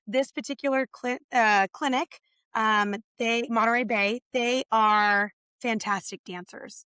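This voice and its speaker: Woman, slightly raspy, losing her voice, enthusiastic, rambling, bright tone, fairly high. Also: fairly even intonation, a little slow, no echo. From California. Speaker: podcast co-host, rules director. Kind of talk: casual podcast chat.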